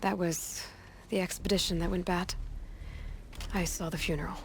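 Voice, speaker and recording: An actress playing a young outlander huntress in a video game, plain-spoken, determined and dry-witted. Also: female voice, lowish voice, steady tone, moderate pace, background noise, natural voice. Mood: probing, resolute. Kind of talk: naturalistic video game cutscene acting.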